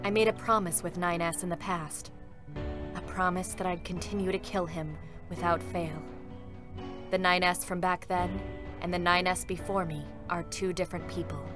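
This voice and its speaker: A woman's voice: fairly low pitch, fairly even intonation, normal speed, music in the background, natural voice. A woman voicing a stoic female combat android in a dramatic reading, formal, serious and restrained, with sorrow held back. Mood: pained, sorrowful.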